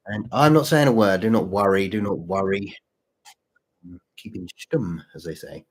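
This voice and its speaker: A man with a slightly sore throat and husky voice chats casually to livestream viewers as host, halting and repeating his phrases. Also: lowish voice, lively intonation. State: mild sore throat, voice deeper than usual. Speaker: channel host and story writer.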